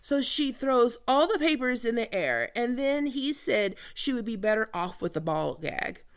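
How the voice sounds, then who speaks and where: A woman playing a flustered, flirty team leader in an ASMR roleplay, speaking haltingly and intimately to the listener with heavy vocal fry. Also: a little high, big pitch swings. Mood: amused, friendly. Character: flustered, flirty female team leader falling for a new coworker. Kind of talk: ASMR roleplay audio drama, one-sided conversation.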